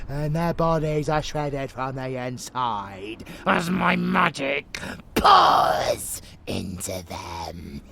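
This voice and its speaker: A man playing a sadistic, gleeful vampire abomination in an audio roleplay, with a growling, gravelly voice and a theatrical, taunting manner. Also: mid-range voice, sharp tone, slight background noise, exaggerated voice. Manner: theatrical, menacing, taunting, drawn-out phrasing. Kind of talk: monster ASMR roleplay acting.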